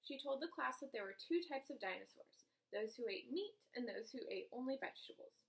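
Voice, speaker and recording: A woman reading a children's story aloud in an expressive way, speaking directly to young listeners, with a creaky voice. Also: a little high, a little fast, poor audio.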